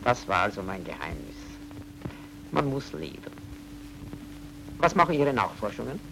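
A man's voice: medium pitch, big pitch swings, moderate pace, vocal fry, noisy background. An actor playing a sly, courteous and inquisitive man in a German radio crime drama. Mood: sly, prying.

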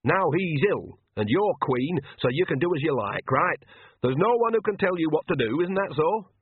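A man's voice: fairly high pitch, animated, normal speed, husky, no echo, narrow, tinny audio, voice pushed up. A man voicing a bossy, shrewd older woman witch who talks in a blunt, colloquial, cajoling way.

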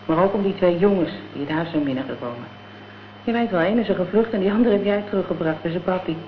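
A woman's voice: on the low side, lively intonation, quick pace, close to an everyday voice. An actress playing a gangster's tough, vengeful girlfriend in a radio drama, talking in blunt, scornful slang. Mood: matter-of-fact. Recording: dull, noisy old radio play recording.

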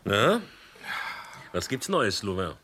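An actor playing a calm, probing police commissioner in a radio play. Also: male voice, fairly low pitch, takes it slowly, a little hiss or hum, heavily acted. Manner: probing, dry questioning.

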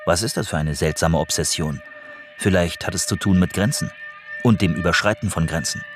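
A man's voice: low voice, expressive, brisk, over music. A man narrating a scripted radio documentary.

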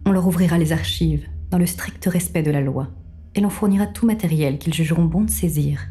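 A woman performing as the detached, ironic storyteller of a dark audio fiction, with formal, measured literary narration. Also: lowish voice, faint music behind, lightly acted. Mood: cool, formal.

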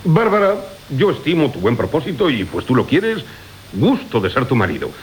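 An actor playing a swaggering, wheedling rogue suitor in a theatrical radio comedy, declaiming in Castilian Spanish with sly muttered asides. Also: male voice, medium pitch, sing-song, normal speed, lightly acted, rough recording. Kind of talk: theatrical Golden Age comedy radio acting. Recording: hissy 1980 radio drama recording.

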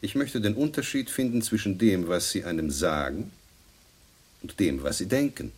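An actor playing a menacing, cynical man in a radio play, husky, insinuating and condescending as he probes. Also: on the low side, animated, normal speed, darkish tone. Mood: cynical, probing.

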